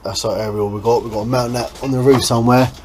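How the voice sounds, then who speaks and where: A man's voice: mid-range voice, fairly even intonation, quick pace, a little mellow. English bloke doing a hands-on vlog, explains stuff as he goes, dead informal, bit of noise behind him. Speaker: host of a boat restoration vlog. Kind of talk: casual hands-on vlog chat. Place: on and around a small boat. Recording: background noise on location.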